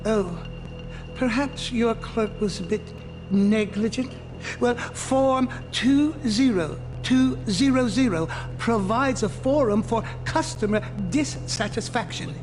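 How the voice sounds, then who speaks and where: A prissy station clerk, high-voiced for a man, reciting rules with formal, exaggerated politeness. It is comic game acting in a long reverberant hall, with music underneath. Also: slow pace. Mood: pedantically polite. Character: officious, pedantic railway baggage clerk quoting regulations.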